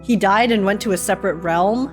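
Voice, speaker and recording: A young woman giving casual, animated, slangy commentary as she reacts to a game, repeating herself for emphasis. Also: medium pitch, a little fast. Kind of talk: casual excited live commentary. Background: game music.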